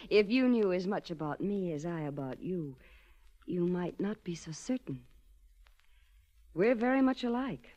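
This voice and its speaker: An actress playing a glamorous, seductive lady racketeer in a 1940s radio drama, speaking in a sultry, coy, deliberate way. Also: on the low side, expressive, mellow. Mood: coy, confident. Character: glamorous, seductive, self-possessed female racketeer. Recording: dull, lo-fi 1940s radio recording.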